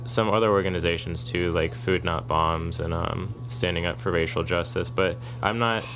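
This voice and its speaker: A man co-hosting a podcast, talking at length in a monotone, argumentative way in casual chat. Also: deep voice, normal speed, noisy background. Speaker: podcast co-host, activist. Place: kava bar.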